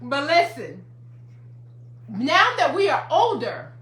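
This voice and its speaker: A woman's voice: high-pitched, big pitch swings, takes it slowly, a bit bright, reverberant. A woman in her fifties, a counselor and life coach, giving an emphatic, repetitive motivational talk to a livestream audience. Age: 50s.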